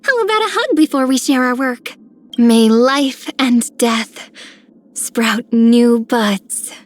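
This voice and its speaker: A woman voicing a gentle, sweet, affectionate young woman in a video game. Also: on the high side, animated, takes it slowly. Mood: solemn. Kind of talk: video game character voice lines.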